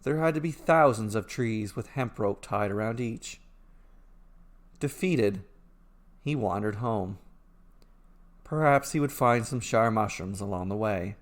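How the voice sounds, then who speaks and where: A man narrating a scripted fairy tale as a storyteller, in a measured, deliberate way. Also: fairly low pitch. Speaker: storyteller narrating his own fairy tales.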